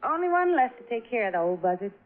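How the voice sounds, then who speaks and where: On a tinny vintage radio recording with music, a young American ranch gal from a fifties drama. She is fairly high-voiced and very animated, blunt, bossy and full of folksy slang. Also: fast. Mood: brisk, bossy. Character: brash, folksy young ranch woman in her twenties. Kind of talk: 1950s radio drama acting. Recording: band-limited 1950s radio recording with music.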